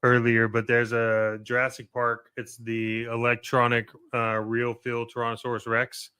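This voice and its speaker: A man's voice: medium pitch, completely flat delivery, measured pace, pushed and bright, clean tone. An American man co-hosting a podcast, talking in an informal, slangy way with plenty of "like" and "right?". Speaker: podcast co-host. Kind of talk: casual chat.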